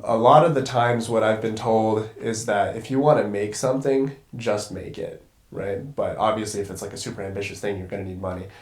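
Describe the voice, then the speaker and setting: A man's voice: lowish voice, reverberant. A congested young man talking as a guest cinematographer in a casual conversation, rambling and swearing casually. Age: young adult.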